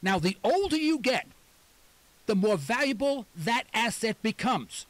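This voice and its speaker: Older male radio host in his sixties, high and animated, emphatic, repeats words for effect. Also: measured pace, no echo. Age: 60s. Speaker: radio talk show host. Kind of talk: talk radio monologue.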